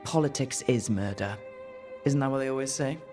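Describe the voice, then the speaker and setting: A woman's voice: very deep voice, normal speed, over music. A woman playing a young rogue hero in a video game, casual, direct and wry. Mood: curious, dry. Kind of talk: video game character dialogue.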